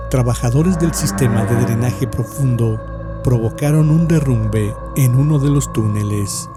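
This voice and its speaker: A man reading a scripted horror story in a measured, solemn way with dramatic pauses. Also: medium pitch. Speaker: narrator. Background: music.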